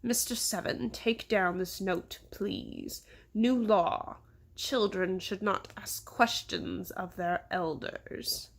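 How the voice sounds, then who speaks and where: Woman playing a slow, sneering British male mayor. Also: medium pitch, creaky. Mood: condescending. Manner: drawn-out, condescending delivery. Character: sneering pompous rude male mayor, easily irritated.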